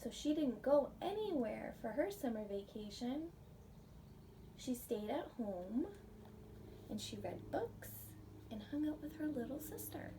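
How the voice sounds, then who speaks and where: Slow, high-voiced woman reading to children. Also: very animated. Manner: gentle, simple teacherly phrasing, pausing to ask questions. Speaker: preschool teacher reading aloud. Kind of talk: children's read-aloud with questions to young listeners. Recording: distant microphone, faint background noise.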